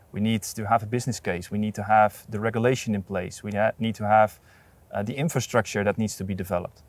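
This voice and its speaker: A man in his thirties speaking in an outdoor interview, earnest and measured, with frequent restarts, on a lapel mic. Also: lowish voice, a little mellow. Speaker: port authority representative. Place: outdoors at an industrial port site.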